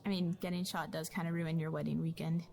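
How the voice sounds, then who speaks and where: A woman's voice: deep voice, flat delivery, fast, vocal fry, darkish tone. An actress playing a concerned, probing female colleague in naturalistic audio drama dialogue.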